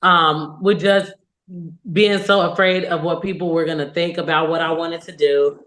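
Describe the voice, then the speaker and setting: A woman's voice: low voice, moderate pace, a little hiss or hum. Candid young woman in an echoey room. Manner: candid, animated storytelling. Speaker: podcast guest, singer. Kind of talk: casual interview chat.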